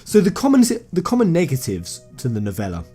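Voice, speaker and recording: A man's voice: medium pitch, huge pitch swings, fast talker, dark tone, slightly rough, soft background music. A man giving an informal explainer commentary on video game lore, talking conversationally and emphatically to his viewers. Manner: conversational, addresses viewers as guys, emphatic phrasing.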